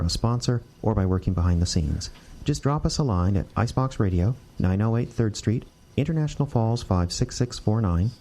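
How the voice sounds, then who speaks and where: Mellow low-voiced man host. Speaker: theater company host. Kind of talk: scripted appeal to listeners.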